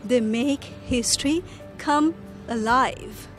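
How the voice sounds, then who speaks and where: She is a young woman from Bangladesh being interviewed outdoors. Her voice sits high and her answers are short and friendly. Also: takes it slowly, soft background music. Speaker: tourist interviewee. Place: outdoors in a city.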